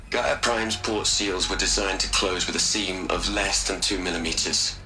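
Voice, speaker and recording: An actor playing a bitter, grieving older scientist, speaking ruefully and deliberately in a video game audio log. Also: male voice, low-pitched, creaky, rough recording. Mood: grave, resolute. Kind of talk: scripted audio log monologue. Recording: music behind the voice. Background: music.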